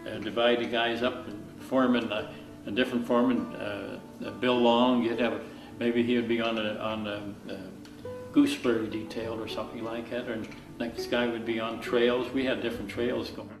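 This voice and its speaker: Echoey and distant, an older man wanders haltingly through his memories. His pitch is average and his style is informal oral history, with plucked guitar music playing behind. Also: little pitch movement. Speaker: former work-camp member giving testimony.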